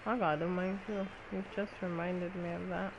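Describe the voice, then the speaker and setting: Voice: mid-range voice, little pitch movement, moderate pace, muffled recording, noisy background. A gaming streamer chatting casually during gameplay.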